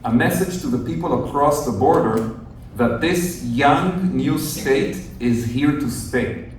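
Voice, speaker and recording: A man's voice: medium pitch, slow pace. Man, earnest explainer, far from the mic, heavy echo, faint noise. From northern Israel. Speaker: journalist speaking to a group. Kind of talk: storytelling talk to visitors. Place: outdoors at a kibbutz near the Gaza border. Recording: very echoey, distant outdoor recording with faint noise.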